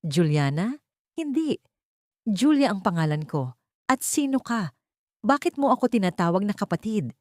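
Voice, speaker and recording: A woman's voice: mid-range voice, sing-song, normal speed, pauses often, darkish tone, no echo, studio quality, voice pushed up, natural voice. A woman voicing a frightened, confused young pregnant woman. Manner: confused, trembling.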